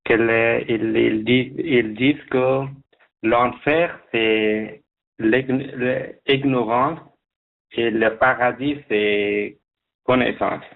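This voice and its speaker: A man from Afghanistan, speaking as a guest in a radio interview, halting, with many restarts. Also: mid-range voice, fairly even intonation, vocal fry, phone-quality sound. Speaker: guest job seeker.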